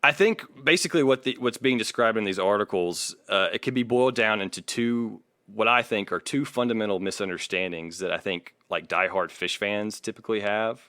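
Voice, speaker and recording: Man, lowish pitch, dry recording, podcast co-host, casual, opinionated, reading aloud and commenting. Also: brisk, hardly any pauses, pushed and bright, no echo.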